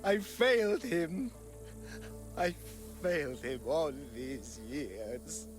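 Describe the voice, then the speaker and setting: An actor playing a guilt-ridden, shaken old caretaker who speaks slowly and haltingly after torture. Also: male voice, on the high side, faint music behind. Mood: grieving. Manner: slow, halting, mournful. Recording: orchestral game score underneath.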